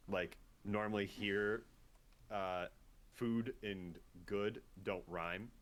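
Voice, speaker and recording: A man's voice: fairly low pitch, fairly even intonation, very slow. A man from Michigan, a guest chatting casually on a remote call. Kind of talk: casual chat.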